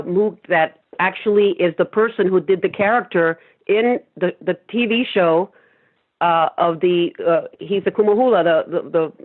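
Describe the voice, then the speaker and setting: Cuban woman on a tinny phone line. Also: medium pitch. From Cuba. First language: Spanish. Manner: chatty, rambling anecdotes with frequent laughs. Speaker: guest singer-songwriter. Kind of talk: casual interview chat.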